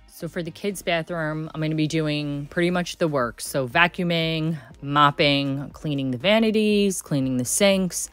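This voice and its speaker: A woman's voice: sits low, measured pace, hardly any pauses, a bit bright, soft background music. A woman in her thirties narrating a cleaning vlog in a casual, chatty, enthusiastic way, often saying "like.". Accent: Texan. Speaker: cleaning vlogger.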